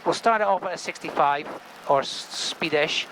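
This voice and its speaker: A man giving hands-on flying instruction in an informal, step-by-step way, often checking in with a quick okay. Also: fairly high pitch, fairly bright tone, rough recording. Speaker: helicopter flight instructor. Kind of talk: hands-on in-flight instruction with casual banter. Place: small helicopter cockpit in flight. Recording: engine noise inside helicopter cockpit.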